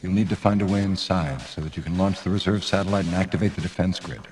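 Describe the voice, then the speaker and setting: An actor playing a grave mission controller who gives serious, urgent briefings in a video game. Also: male voice, low voice, steady tone, fast talker, a little mellow, slight background noise, natural voice.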